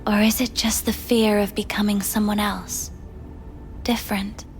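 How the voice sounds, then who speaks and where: A woman playing a soft, probing, hypnotic pleasure-doll companion in a video game, speaking intimately and often in a whisper. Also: medium pitch, level tone, unhurried, faint music behind, lightly acted.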